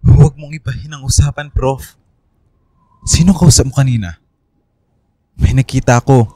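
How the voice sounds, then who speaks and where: Mellow-voiced, suspicious young Filipino man, played by an actor. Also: medium pitch, moderate pace, no echo, natural voice. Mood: accusing, upset. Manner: intense, accusing. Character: troubled, suspicious young man cursed with third eye. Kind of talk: Filipino radio horror drama acting.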